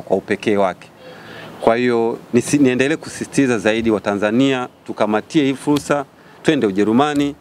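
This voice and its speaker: A man's voice: on the low side, slight background noise. A man with a Tanzanian accent, speaking as an event organiser addressing a press conference.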